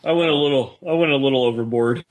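Man, medium-pitched voice, podcast co-host, casual conversational fan talk. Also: fast.

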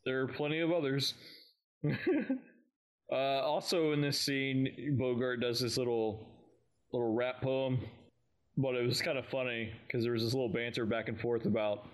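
A man's voice: mid-range voice, little pitch movement, moderate pace. Casual male podcast co-host from Texas. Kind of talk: casual fan podcast discussion of an audio drama.

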